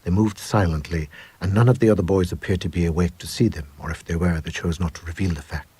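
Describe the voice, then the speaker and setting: A man narrates a supernatural tale in a low, heavily husky voice. His delivery is measured and grave, shaped like a dramatic audiobook reading. The sound is close and dry. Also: fairly even intonation, warm tone, no echo. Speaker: story reader. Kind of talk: dramatic first-person ghost story reading.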